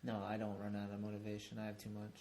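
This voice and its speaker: Male pro skater, casual, energetic, cusses a lot. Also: on the low side, fast, very noisy. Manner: enthusiastic, swears freely. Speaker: professional skateboarder. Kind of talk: casual chat.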